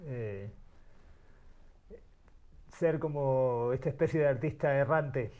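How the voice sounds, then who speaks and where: A man's voice: medium pitch, takes it slowly, a little mellow. A middle-aged man born in Uruguay, telling his life story as an interview guest, thoughtful and searching for words. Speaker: guest, artist.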